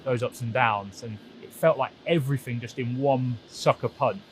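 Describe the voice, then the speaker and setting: A male football fan talks reflectively for a documentary. His voice is of medium pitch, with music clearly running underneath. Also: normal speed, low-quality recording.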